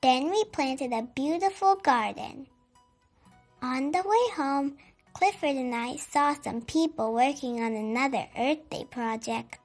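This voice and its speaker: Storybook reader for kids, high voice, unhurried expressive delivery, music underneath. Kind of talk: read-aloud storytelling for children.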